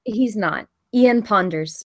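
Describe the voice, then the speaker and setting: A woman's voice: mid-range voice, takes it slowly. A woman reading the stage directions of a film script as scripted narration at a table read.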